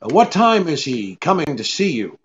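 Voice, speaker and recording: An actor playing a cool, brisk, sardonic private detective in an old-time radio drama, with a clipped, take-charge manner. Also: male voice, fairly high pitch, big pitch swings. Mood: businesslike. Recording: dull lo-fi radio-play recording.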